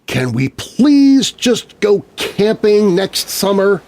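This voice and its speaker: A man's voice: high-pitched, very animated, takes it slowly, darkish tone, slight background noise, pitched above the performer's own voice, full character voice. A man playing a frightened, stubborn young boy in a scary children's story. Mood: pleading. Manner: stammering, pleading.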